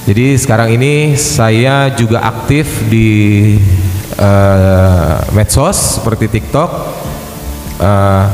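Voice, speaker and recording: A man's voice: lowish voice, takes it slowly, a little mellow, soft background music. A man introduces himself at a debate, speaking hesitantly with many filler sounds. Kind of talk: self-introduction at a live debate. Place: large echoey hall. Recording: echoey hall with long reverb.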